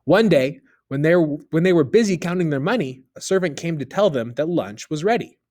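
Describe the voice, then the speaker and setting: A man reading a children's story aloud, expressive, dipping low and quiet on the narration tags. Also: fairly quick.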